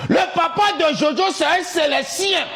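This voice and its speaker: Guy shouting and half singing, high voice, slow, roomy echo. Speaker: TikTok commentator in a played clip. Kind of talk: excited preaching-style rant.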